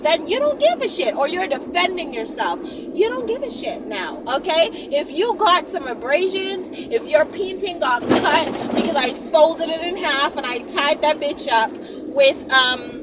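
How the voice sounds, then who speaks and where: A woman hosting her own video channel, chatting casually and emphatically to her viewers and often checking in with them. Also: sits high. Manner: animated, emphatic, repeatedly checking in with listeners. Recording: distant mic, loud ambient noise.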